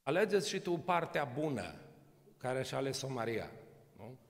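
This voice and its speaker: A man's voice: mid-range voice, very animated, gravelly. A man in his sixties preaching a sermon from a church podium, talking conversationally with frequent rhetorical questions and touches of humor. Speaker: pastor. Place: large church hall. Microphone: podium microphone. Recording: long church reverb.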